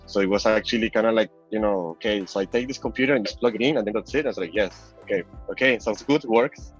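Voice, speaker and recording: Dull-sounding man with Venezuelan accent, lowish voice. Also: fast talker, slightly rough. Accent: Venezuelan Spanish. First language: Spanish. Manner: rambling, heavy use of "like" and "you know". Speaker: interview guest, crypto app designer. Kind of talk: casual chat. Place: online call. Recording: dull lo-fi call audio, music behind.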